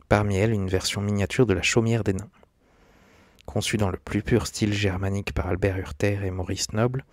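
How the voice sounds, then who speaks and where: A man's voice: fairly low pitch, darkish tone, no echo. A man reading a scripted documentary narration, now and then stumbling slightly over the script. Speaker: host of an animation history video channel. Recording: dry, close, with background music.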